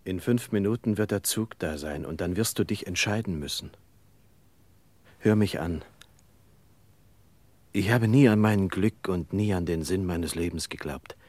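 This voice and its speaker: An earnest shopkeeper in a radio drama. His deep voice is insistent and formal, recorded very dry and close. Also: a little slow, pauses often, darkish tone, no room sound. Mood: urgent pleading. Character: earnest, indignant small haberdashery shopkeeper and family man. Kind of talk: German radio play acting.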